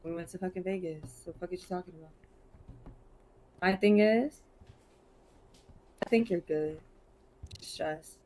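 A woman's voice: lowish voice, a little slow, long pauses, darkish tone, slight background noise. A woman improvising game roleplay as a suspect's girlfriend, blunt and insistent, in casual profane slang. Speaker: role-player acting as suspect's girlfriend.

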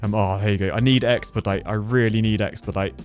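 A man's voice: fairly low pitch, fairly even intonation, fast, soft background music. A British man giving casual, jokey commentary as he plays a game, chatting and thinking aloud as host of the video. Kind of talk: casual live gameplay commentary.

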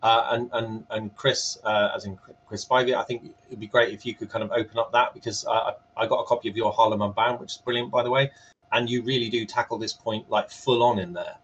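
A man's voice: on the low side, steady tone, brisk, a bit bright, slightly rough. A man moderating an unscripted panel over a video call, hesitating and repeating words as he goes. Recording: muffled, band-limited video call.

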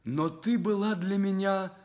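An actor playing an ardent, romantic young journalist in love, earnest and fervent, in a Soviet radio drama. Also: male voice, high-pitched, measured pace, a little mellow.

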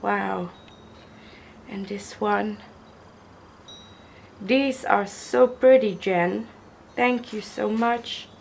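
Young woman, slow and hoarse, gravelly, gushing over gifts; camera mic at a table, faint room noise. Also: mid-range voice. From Netherlands. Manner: gushing, slow, admiring, repeats praise. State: hoarse from illness. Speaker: crafter showing gifts. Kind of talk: casual unboxing vlog. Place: indoors at a wooden table.

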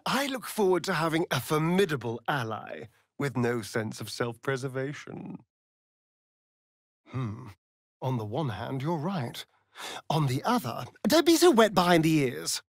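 An actor playing a sly, vain vampire rogue in a video game, with a silky, theatrical, mocking drawl. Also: male voice, fairly high pitch, sing-song, a little slow, no echo, studio quality, heavily acted. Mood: smug, dry. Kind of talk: video game character voice lines.

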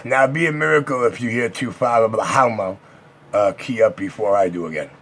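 A man's voice: on the low side, a little fast. A man talking casual, slangy trash talk in a brash, repetitive way. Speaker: CB radio operator. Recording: noisy room with loud radio static. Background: CB radio noise.

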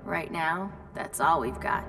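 Low, creaky, deadpan goth sorceress. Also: female voice, unhurried, heavily acted. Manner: deadpan, dry. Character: gloomy, sardonic teenage half-demon sorceress girl. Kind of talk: cartoon video game acting. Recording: reverberant, distant, light music behind.

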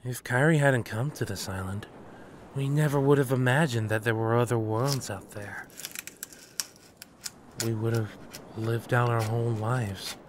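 A man's voice: medium pitch, measured pace, pauses often, background noise, lightly acted. A man playing a cool, teasing and pensive teenage boy in a manga dub, smug yet thoughtful.

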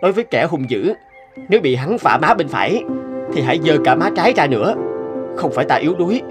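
A poor, polite wandering woodcutter from a fairy-tale cartoon. His voice is a little high and moves a lot in pitch, earnest and humble, over background music. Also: big pitch swings, quick pace. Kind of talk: animated fairy-tale dubbing.

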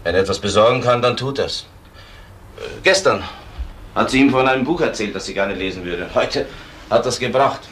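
A man's voice: medium pitch, moderate pace, fairly bright tone, a little hiss or hum, close to an everyday voice. An actor playing an earnest, protective middle-aged or older father in a German crime radio play. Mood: earnest, insistent. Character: protective father vouching for a friend.

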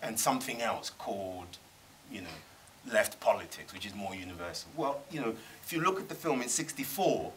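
A man's voice: lowish voice, expressive, normal speed, hardly any pauses, slight background noise, poor audio. A middle-aged man on a panel in a cinema, speaking thoughtfully and emphatically, often restarting his sentences. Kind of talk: panel discussion. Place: cinema screening room with audience.

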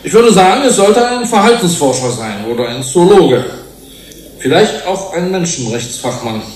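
An actor playing a shrewd, combative scientific manager who addresses a tribunal in a pointed, oratorical way in a German radio drama. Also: male voice, high voice, very animated, normal speed. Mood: self-assured. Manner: oratorical, pointed, self-assured. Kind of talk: formal courtroom speech in German radio drama. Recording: roomy hall echo, faint hiss.

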